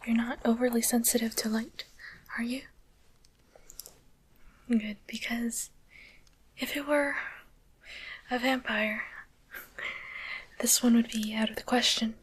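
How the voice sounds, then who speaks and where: A woman playing a softly teasing, curious demon doctor in a coaxing, deliberate whisper for an ASMR roleplay, close to the microphone. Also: fairly high pitch, little pitch movement, slightly rough.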